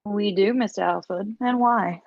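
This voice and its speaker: A woman's voice: medium pitch, measured pace, vocal fry, dull audio. A woman playing an arrogant aristocratic mother in her sixties, speaking with polished menace in a comedy table read. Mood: polite menace. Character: arrogant aristocratic sixty-ish mother, steely, conniving, falsely polite.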